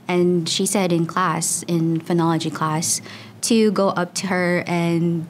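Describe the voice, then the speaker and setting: Chatty young woman, lowish voice. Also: fairly even intonation, slow pace, a little hiss or hum. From Philippines. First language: Tagalog. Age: young adult. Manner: chatty, frequent "like" fillers. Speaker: student researcher. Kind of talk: casual interview answers.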